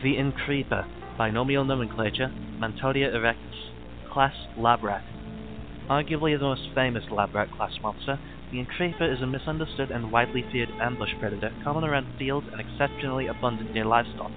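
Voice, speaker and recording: A man reading scripted mock-documentary narration in a formal, measured, clinical manner. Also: mid-range voice. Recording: band-limited lo-fi audio with background music. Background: music.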